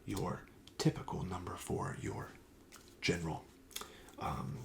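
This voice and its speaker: A man speaking softly and breathily, often near a whisper, gently explaining wares as a shop clerk in an ASMR roleplay. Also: deep voice, takes it slowly, vocal fry. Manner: gentle, explanatory sales patter. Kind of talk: soft-spoken ASMR roleplay.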